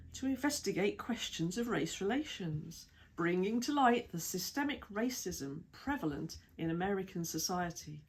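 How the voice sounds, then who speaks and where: A woman with a British accent reading an article aloud in a measured, even way, with lists of titles and dates. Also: medium pitch, slightly rough. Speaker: reader of an article. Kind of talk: read-aloud essay narration.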